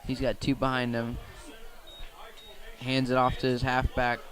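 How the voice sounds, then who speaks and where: A man doing live radio play-by-play of a football game in measured, factual short phrases, speaking from outdoors at the field. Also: mid-range voice, monotone, fairly bright tone. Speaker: play-by-play commentator.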